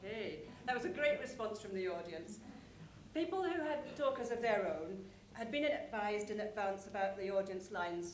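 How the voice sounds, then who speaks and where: A woman giving an informal, explanatory conference presentation about a show, speaking conversationally. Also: medium pitch. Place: conference room, live presentation. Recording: echoey room with clear background noise.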